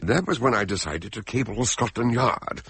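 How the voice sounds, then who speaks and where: An actor playing a dignified, wordy gentleman investigator recounting his adventure in a radio drama, formal and donnish. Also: lowish voice, lively intonation, moderate pace, slightly rough, dry recording, exaggerated voice. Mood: wry recounting. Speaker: investigator and narrator. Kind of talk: radio drama acting with storytelling narration.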